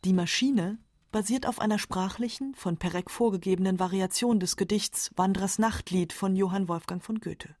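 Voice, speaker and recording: A woman's voice: medium pitch, moderate pace, darkish tone, dry recording, studio quality. A woman reading scripted radio documentary narration.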